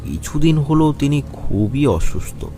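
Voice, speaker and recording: A man's voice: mid-range voice, very animated, measured pace, dark tone. A man narrating a cartoon story in a scripted, storytelling style. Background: music.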